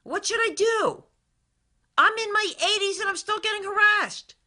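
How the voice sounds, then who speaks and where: A woman doing a frail caller in her eighties who cries out in a high, strained voice. She is pleading and wailing, her words climbing sharply above a normal speaking pitch. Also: moderate pace, pushed and bright, full character voice. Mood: distressed pleading.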